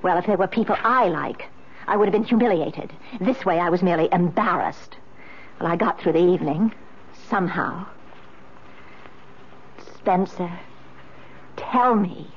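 An actress playing a wronged, genteel wife in a 1970s radio drama, speaking with formal, pointed reproach and dramatic pleading. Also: female voice, fairly low pitch, normal speed, pauses often, slight background noise, lightly acted. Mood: icy reproach. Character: Margaret, wronged, genteel wife, wounded and demanding. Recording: muffled vintage radio broadcast.

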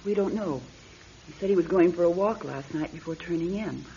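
An actress playing a worried, defensive wife in an old radio mystery, answering with hesitation. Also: female voice, fairly low pitch, brisk, warm tone, a little hiss or hum, close to an everyday voice. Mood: subdued, worried. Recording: dull old radio recording.

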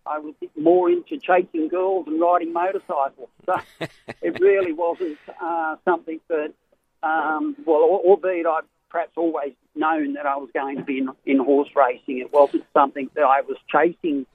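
Australian male trainer, middle-aged, gravelly, slightly high voice, hesitant, tinny phone line. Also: normal speed, a little hiss or hum. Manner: rambling, hesitant, many fillers and restarts. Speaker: racehorse trainer guest. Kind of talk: casual radio interview.

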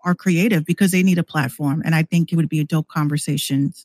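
A woman's voice: sits low, fairly even intonation, quick pace, darkish tone, no echo. A woman who streams and podcasts, chatting casually as a guest on a show.

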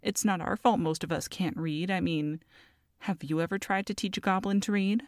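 A woman's voice: lowish voice, moderate pace, darkish tone, dry recording, studio quality, close to an everyday voice. A woman voicing a feisty, scheming goblin mother in an audio roleplay, sassy and haughty as she talks to a captive. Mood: defensive, taunting. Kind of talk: ASMR audio roleplay monologue.

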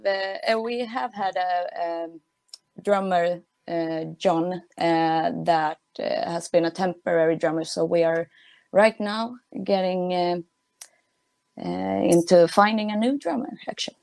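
Woman, Swedish accent, slightly low voice, slow hesitant delivery, casual interview guest, often laughing. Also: fairly even intonation, a little mellow, dry recording. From Sweden. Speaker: guest, punk band musician.

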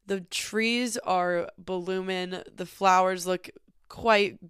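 A woman's voice: fairly low pitch, fairly even intonation, drawn-out words, a bit bright, smooth, no echo, clean studio sound. A young woman from Northern California hosting a casual podcast from a small cabin, talking openly and reflectively with lots of "like" fillers, a little sniffly from allergies. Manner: open, reflective, frequent "like" fillers. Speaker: podcast host. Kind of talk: casual personal monologue.

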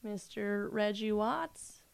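A woman's voice: mid-range voice, slow pace, creaky, clean tone. A woman co-hosting a podcast in casual chat, playful and fond of puns. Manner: joking, punny, playful. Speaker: podcast co-host.

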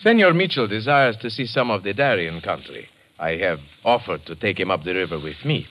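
Courteous, animated Latin American lieutenant. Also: male voice, on the low side, fairly quick. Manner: polite, formal, careful phrasing. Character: courteous, deferential Panamanian lieutenant and river guide. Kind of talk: old-time radio drama acting. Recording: noisy vintage radio recording.

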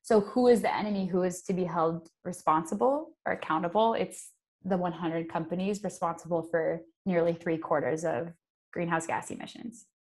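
A woman's voice: on the low side, fairly even intonation, normal speed, darkish tone. Young American woman explaining things earnestly. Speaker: guest environmental activist. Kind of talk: conversational interview answers.

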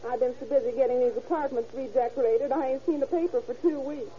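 An actress gives voice to a gossipy homespun landlady in an old radio play, talking in a high but dark, mellow voice. The sound is dull and lo-fi with a faint hiss. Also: quick pace, lightly acted. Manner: folksy, plain-spoken, excitable. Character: chatty homely woman landlady. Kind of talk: 1930s radio drama acting.

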